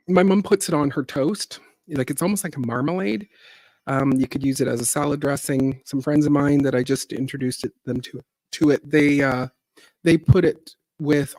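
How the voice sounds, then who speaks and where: A middle-aged woman with a low, deep voice, a singer hosting a casual livestream chat, rambling along with fillers and little laughs. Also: darkish tone, no echo. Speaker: singer and gardening channel host.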